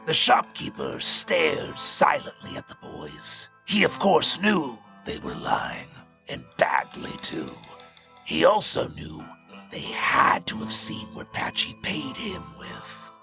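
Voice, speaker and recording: A man reading scripted story narration in a gravelly voice. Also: on the high side, wildly swooping pitch, slow, pushed and bright. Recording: music bed behind the voice.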